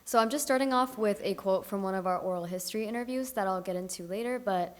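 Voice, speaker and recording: A young woman student presenting her research, in a clear, steady, scripted delivery. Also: mid-range voice, quick pace. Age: young adult. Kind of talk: academic presentation.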